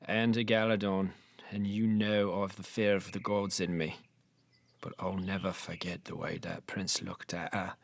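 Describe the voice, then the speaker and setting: An actor playing an old blind beggar in an audio drama, with folksy rustic speech and a pleading, haunted manner. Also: male voice, low-pitched, level tone, a little slow, vocal fry, dry recording. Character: frightened old blind beggar, folksy, guilt-ridden former hunter.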